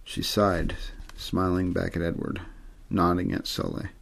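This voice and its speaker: A man reading a story aloud as an amateur ASMR narrator, in a low-key, untheatrical way with the odd stumble. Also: level tone, measured pace, gravelly. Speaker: amateur audiobook reader.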